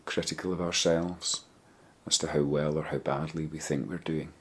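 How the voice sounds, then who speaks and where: A man with an extremely low, slightly husky voice guides a meditation. He speaks in a gentle, measured way, leaving pauses between short phrases. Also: fairly even intonation. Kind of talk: guided body scan meditation.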